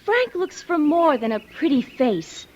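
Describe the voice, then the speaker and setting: A woman's voice: high-pitched, very animated, measured pace, darkish tone, muffled recording. An actress playing a headstrong, lovestruck young horsewoman in an old-time radio drama, earnest and emphatic. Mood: hurt, insistent. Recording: noisy vintage radio drama recording.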